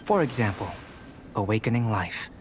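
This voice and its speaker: An actor playing a young scholarly alchemist in a video game, composed, detached and curious, speaking in a measured, formal way. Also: male voice, lowish voice, expressive, noisy background, close to an everyday voice. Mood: earnest, explaining. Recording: music behind the voice.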